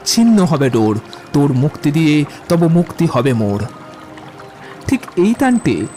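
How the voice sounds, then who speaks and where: An actor playing a witty, poetry-loving young romantic suitor in an audio drama, with eloquent, theatrical delivery. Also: male voice, on the high side, darkish tone. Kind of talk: Bengali audio drama acting.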